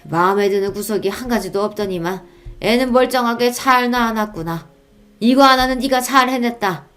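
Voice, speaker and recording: A stern old mother-in-law , played by a female narrator, in a radio story. She scolds and grumbles in a scornful, curt way, her voice pushed higher when she berates. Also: normal speed, fairly bright tone, soft background music, close to an everyday voice. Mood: grudging. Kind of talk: dramatized radio-story dialogue.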